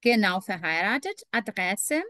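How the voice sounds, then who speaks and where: A woman's voice: mid-range voice, animated, a little slow, sharp tone, no echo, clean studio sound. A woman teaching a beginner German class over a video call, patient and encouraging with her students. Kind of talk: online language class.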